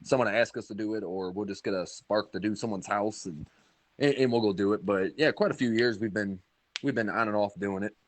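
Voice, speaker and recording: A man co-hosting a podcast, talking in a halting way with many fillers. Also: fairly low pitch, no echo. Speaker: podcast co-host. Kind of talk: casual podcast chat.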